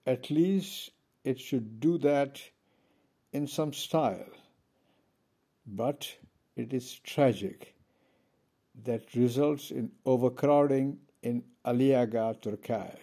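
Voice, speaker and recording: A man from India giving a pointed, measured speech from a conference podium. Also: medium pitch, mellow. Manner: measured, pointed, with pauses between phrases. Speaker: conference speaker.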